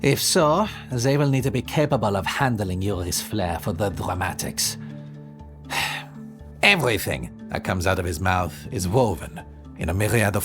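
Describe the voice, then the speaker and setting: An actor playing a weary, theatrical immortal man who talks in florid, sardonic turns in an audio roleplay. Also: low voice. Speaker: lead character in an audio drama. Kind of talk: ASMR audio roleplay acting.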